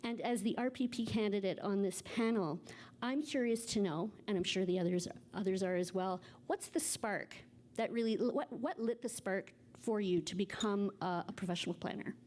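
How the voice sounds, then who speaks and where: A woman's voice: medium pitch, moderate pace, darkish tone. A woman moderating a live panel discussion, reading speaker bios from notes in a formal way and sometimes tripping over names. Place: conference stage before a live audience.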